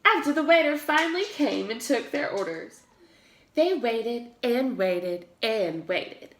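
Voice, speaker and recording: A woman reads a picture book to young children in a slow, highly animated voice. She punches the sound words with emphasis, and the room echoes around her. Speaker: pre-K teacher reading aloud. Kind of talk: expressive children's storybook read-aloud.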